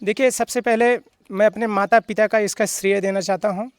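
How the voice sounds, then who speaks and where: A man's voice: high voice, fairly even intonation, clear, resonant tone, no room sound. A man in his thirties who sings devotional songs, speaking formally and devoutly. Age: 30s. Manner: devout, formal, slow drawn-out chanting. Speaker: devotional singer. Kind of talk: interview answers and bhajan singing.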